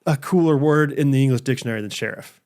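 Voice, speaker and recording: A man's voice: medium pitch, quick pace, a little mellow. A man co-hosting a podcast, joking and laughing often as he reads an ad. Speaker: podcast co-host. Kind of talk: casual chat with ad reads.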